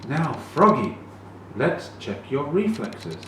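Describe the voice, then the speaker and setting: A man voicing a brisk, stern female animal doctor in a storybook, giving commands and instructions. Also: fairly high pitch, wildly swooping pitch, pushed and bright, voice pushed up, heavily acted. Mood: instructing. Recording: very echoey, distant, noisy room.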